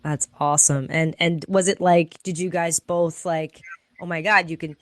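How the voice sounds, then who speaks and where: A woman's voice: lowish voice, fairly even intonation, normal speed, no echo, clean studio sound. A woman hosting an interview, asking long rambling questions and affirming answers. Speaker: interview host. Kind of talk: podcast interview.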